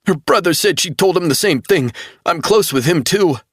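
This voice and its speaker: A man voicing a grief-stricken young man who pleads through sobs, high-strung and scared. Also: sing-song, moderate pace, a bit bright, slightly rough, dry recording, clean studio sound, full character voice. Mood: distraught pleading. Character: distraught young man, grieving hacker.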